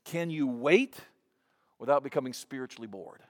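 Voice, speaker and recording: A man's voice: mid-range voice, moderate pace. A man in his fifties preaching a sermon in a conversational way, often restarting with repeated words. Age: 50s. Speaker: pastor.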